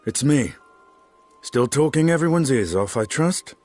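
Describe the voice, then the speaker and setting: An actor playing a composed, businesslike male adventurer in a video game cutscene, with a husky voice. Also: medium pitch, animated, unhurried, a little mellow, faint music behind. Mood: composed, brisk.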